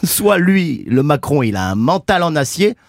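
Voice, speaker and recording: A French man doing a mocking comic segment in a radio studio, with quick jokes and laughs. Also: on the high side, big pitch swings, dry recording. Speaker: comedian.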